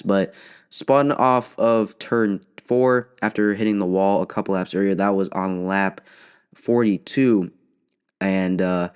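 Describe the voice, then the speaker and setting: A man's voice: low-pitched, clear, resonant tone. Sniffly young man recapping races. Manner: casual, halting, frequent fillers and restarts. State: sick with a cold, sniffling. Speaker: podcast host. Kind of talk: solo race recap.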